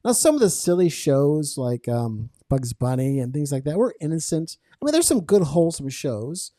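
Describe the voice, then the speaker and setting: Mellow-voiced male podcast host. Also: on the high side, sing-song, normal speed, no room sound, studio quality. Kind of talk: conversational podcast interview with religious commentary.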